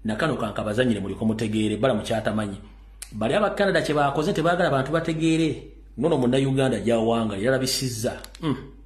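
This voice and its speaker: A man's voice: mid-range voice, fast, roomy sound. A man from Uganda hosting an online talk show, delivering impassioned political commentary and repeating words for stress.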